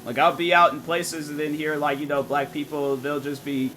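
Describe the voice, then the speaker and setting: A man streaming a game and chatting casually, rambling and thinking out loud with lots of fillers. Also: on the high side, flat delivery, normal speed, slight background noise, poor audio. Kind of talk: casual live-stream chat.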